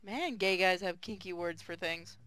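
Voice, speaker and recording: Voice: mid-range voice, normal speed, crowd noise. A co-streamer chatting casually over a game, blunt, crude and teasing, sometimes reading a line of dialogue. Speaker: co-streamer commenting and reading lines.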